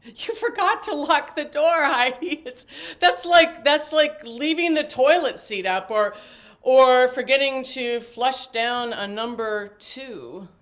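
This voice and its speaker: A woman's voice: high voice, lively intonation, takes it slowly, fairly bright tone, heavily acted. An actress playing a talkative, flippant older mother in a stage play, rambling and joking theatrically with stammered asides. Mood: chatty, playful.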